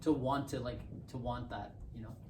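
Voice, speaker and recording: A young man from Bangladesh, raised in Canada, talking as a podcast guest in a thoughtful, halting way and repeating words while he thinks. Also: mid-range voice, fairly even intonation, distant mic. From born in Bangladesh, raised in Canada. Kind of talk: casual conversational interview. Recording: roomy, off-mic, faint hiss.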